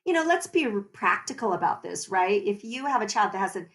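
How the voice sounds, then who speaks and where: A woman speaks as a guest on a podcast, telling her story with animation. She explains things at length and laughs often, her voice sitting in an ordinary middle pitch. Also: big pitch swings, brisk. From United States. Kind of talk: conversational podcast interview.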